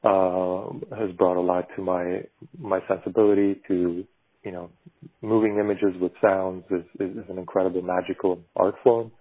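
A low-voiced man from Bulgaria speaks as a podcast guest who works in animation. His voice is husky, and he picks his words slowly, often stopping to restart a thought. The sound is tinny and narrow, like a remote call coming over a phone line. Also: flat delivery. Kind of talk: reflective conversational interview.

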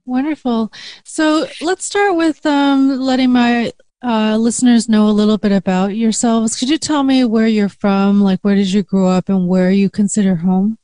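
A woman's voice: a little high, steady tone, moderate pace, dark tone, no room sound. A woman hosting a podcast interview, asking questions with encouraging prompts. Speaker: podcast host.

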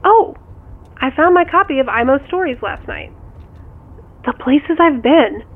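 Tinny phone-call chatty, high-voiced woman. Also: expressive, unhurried. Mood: excited, curious. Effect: phone filter. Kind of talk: naturalistic audio drama acting.

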